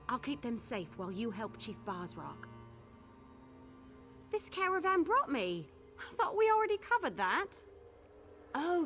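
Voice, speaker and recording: Brash young female caravan guard, high voice, very animated, sardonic, blunt, fantasy game dialogue, music behind. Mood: flippant, animated. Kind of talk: video game dialogue acting.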